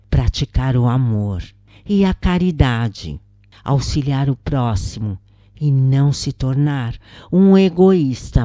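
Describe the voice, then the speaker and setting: A woman with a Brazilian accent narrating a first-person spiritual story in a solemn, measured storytelling manner. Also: extremely low pitch, mellow, dry recording, studio quality.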